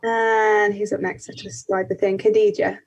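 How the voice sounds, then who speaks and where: A woman's voice: mid-range voice, flat delivery, moderate pace. An eighteen-year-old woman from Kent moderates a panel over a video call, friendly and chatty, with frequent ums. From Kent, England. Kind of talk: casual panel introduction.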